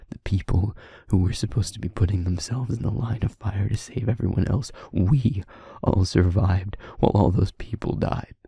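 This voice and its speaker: A man playing a guilt-ridden werewolf pack leader confiding in a partner in an intimate audio drama, with a halting, heavy, emotionally raw delivery. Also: low-pitched, vocal fry, dark tone, husky, no echo, studio quality, exaggerated voice. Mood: bitter, self-reproachful.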